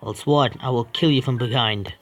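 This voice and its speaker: A man streaming a horror visual novel, reading its text aloud haltingly, stumbling over words and breaking off to complain at the game. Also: mid-range voice, soft background music. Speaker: gaming streamer.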